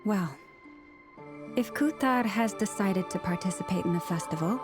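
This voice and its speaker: An actress plays a gentle, courteous young woman in an animated game. Her voice is mid-pitched, and she speaks with formal, measured composure. Soft music runs behind her. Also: natural voice. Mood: thoughtful.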